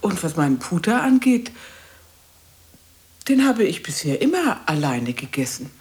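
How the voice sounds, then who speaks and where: A woman's voice: fairly low pitch, sing-song, unhurried, darkish tone. An actress playing a brusque, solitary woman who guards her peace with curt, dismissive remarks in a radio play. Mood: indignant, dismissive.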